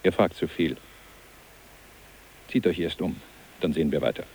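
An old rural man in a radio drama. His voice is deep, and he is hospitable yet brusque and plain-spoken. A faint hiss lies over the tape. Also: normal speed, a little mellow. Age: older. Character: kindly, blunt old country man in Sweden.

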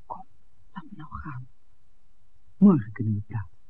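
A smitten innkeeper's daughter in an old radio play. Her voice is breathy and lively, hesitant and intimate. The recording is narrow, like a telephone. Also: rumbling low, moderate pace, dry recording, exaggerated voice. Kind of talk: 1960s Dutch radio drama acting.

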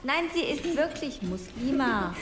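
A woman's voice: high voice, sing-song, unhurried, fairly bright tone, slight background noise, exaggerated voice. An actress playing a chatty, blunt teenage schoolgirl who gossips. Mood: gossipy.

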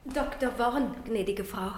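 A woman's voice: on the high side, moderate pace, a little hiss or hum, lightly acted. An actress playing a formal, deferential female housemaid in a German radio play. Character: deferential housemaid announcing visitors.

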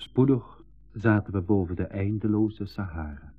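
A man's voice: fairly low pitch, normal speed, husky. An eager, outspoken boy adventurer in a 1980s school radio play. He both tells the story and speaks in it. The sound is narrow and phone-like, with faint music. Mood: reflective narrating. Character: Piet, eager outspoken boy telling his travel adventures.

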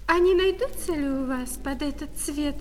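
A woman playing a hesitant, coy young lady customer in a radio play, fussy and halting in her talk. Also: high voice, lightly acted. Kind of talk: radio play acting of a classic short story. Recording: reverberant hall with crowd murmur.

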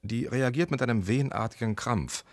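A man's voice: medium pitch, a little fast, close to an everyday voice. An actor playing an ambitious, self-assured male medical researcher in a German radio play, speaking in a wry, enthusiastic conversational way. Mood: intrigued.

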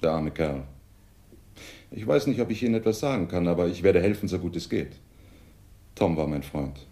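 An actor playing a smooth, polite and easygoing adventurer and junk dealer in a radio drama. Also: male voice, low-pitched, animated, moderate pace, mellow. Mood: courteous, sympathetic. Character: smooth, obliging male adventurer and junk dealer nicknamed Cat.

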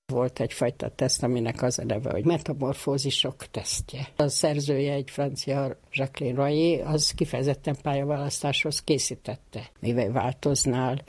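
An older woman psychotherapist with a husky voice, explaining things conversationally in an interview. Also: rumbling low, darkish tone.

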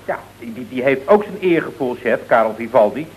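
An actor playing an earnest, deferential employee in an old radio comedy, stammering and fussy, with a Dutch accent. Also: male voice, medium pitch, animated, brisk, husky. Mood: earnest reproach. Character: hesitant, earnest, deferential male employee addressing his boss. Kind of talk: 1970s radio comedy acting. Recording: dull, noisy old radio recording.

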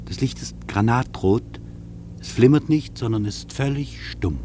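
An actor playing an observant air traveller in a German radio play, giving his impressions in measured, reflective phrases. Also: medium pitch, close to an everyday voice. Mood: pensive, watchful. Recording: steady engine-like hum in the background.

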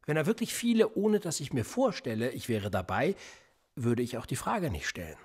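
A husky cold police interrogator. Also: male voice, mid-range voice, normal speed. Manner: calm, needling, probing questions. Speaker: police investigator. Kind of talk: radio drama acting.

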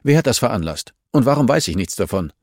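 A man's voice: medium pitch, sing-song, fast talker, darkish tone, no echo, studio quality, voice pushed up. Man playing an insistent, determined woman investigator. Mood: indignant.

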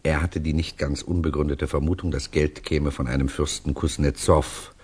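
A grave storyteller in a 1960s radio drama. His voice is low and somewhat husky. He recounts things in measured, literary phrases, deliberate and serious. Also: steady tone, darkish tone. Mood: reflective.

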